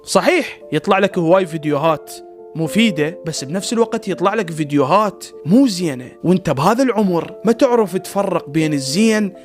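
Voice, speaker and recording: A man's voice: high voice, expressive, quick pace, soft background music. A man with an Iraqi Arabic accent chatting casually and comically like a chiding big brother, full of rhetorical questions. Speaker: storyteller and online content creator. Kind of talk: casual comedic chat in a cartoon.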